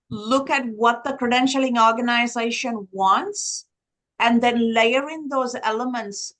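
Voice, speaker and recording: A woman's voice: a little high, fairly bright tone, poor audio. A woman from Sri Lanka whose first language is Tamil, speaking on a conference panel and building her points in long lists.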